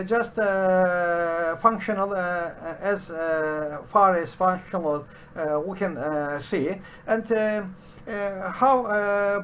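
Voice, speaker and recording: A middle-aged man with a Turkish accent, a non-native English speaker, teaching programming from a home study, pausing often with "uh" fillers and restarts. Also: sits high, a bit bright, slight background noise. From Turkey. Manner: halting, constant "uh" fillers and restarts. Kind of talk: unscripted screen-share tutorial.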